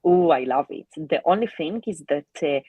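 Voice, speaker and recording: Non-native woman, husky voice, hesitant casual talk, dry close mic. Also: low-pitched, lively intonation, dark tone, no echo. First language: not English. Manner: halting, with many fillers and restarts. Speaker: co-host of a live reading stream. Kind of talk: casual chat.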